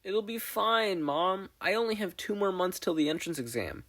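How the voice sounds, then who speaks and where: A man's voice: high voice, animated, normal speed, fairly bright tone, pitched above the performer's own voice. A man voicing an eager, overworked teenage boy who protests breezily in a startled, drowsy way, in a story reading. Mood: dismissive, defensive.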